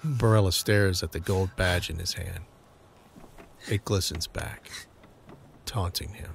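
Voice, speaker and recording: Low male narrator with background noise. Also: measured pace. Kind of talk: scripted audio drama narration. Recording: clearly audible background noise.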